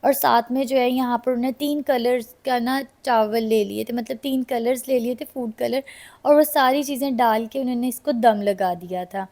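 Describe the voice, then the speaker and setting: A slightly hoarse woman with a Pakistani Urdu accent, chatting casually to viewers in a cooking vlog voice-over. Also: fairly high pitch, normal speed, dark tone. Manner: chatty, addresses viewers directly. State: slightly hoarse from a sore throat. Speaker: vlogger.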